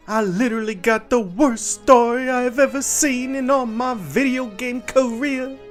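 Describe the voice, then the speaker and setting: Man putting on a high-pitched, exasperated gamer.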